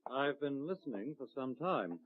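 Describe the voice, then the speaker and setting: A man's voice: mid-range voice, expressive, moderate pace, no room sound, natural voice. An actor playing a cold, controlling male state official, smug and coldly sarcastic, in a vintage radio drama. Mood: icy. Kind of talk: 1950s radio drama acting. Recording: dry, muffled vintage radio broadcast.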